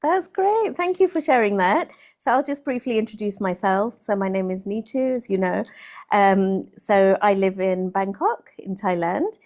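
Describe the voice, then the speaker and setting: A woman, a tutor talking warmly and encouragingly to a student on a video call. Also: medium pitch, animated, normal speed. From Kerala, India; raised in London. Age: 40s. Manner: friendly, encouraging, soft-spoken. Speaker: English tutor. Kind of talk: casual video call conversation.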